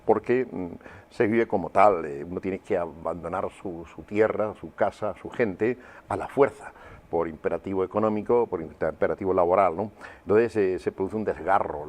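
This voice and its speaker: An older man with a low, deep voice. He shares personal testimony as an interview guest, pausing and searching for words as he reflects on his memories. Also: darkish tone. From Huelva, Andalusia, Spain.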